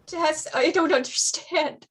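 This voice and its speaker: A woman playing an anguished, protective mother with halting, emotional delivery in a staged play reading. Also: high voice. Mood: tearful, stunned.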